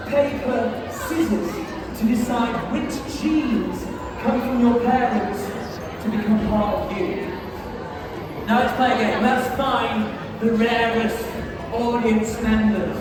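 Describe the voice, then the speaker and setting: An English science show presenter, lively and coaxing a young audience with questions, in a large museum hall. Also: medium pitch. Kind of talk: live science show for children. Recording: echoey hall, mic far off, crowd noise.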